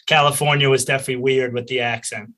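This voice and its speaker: A man's voice: medium pitch, steady tone, fast talker, pushed and bright. A young man with a Boston accent, a college lacrosse player answering interview questions hesitantly with lots of "like". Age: young adult.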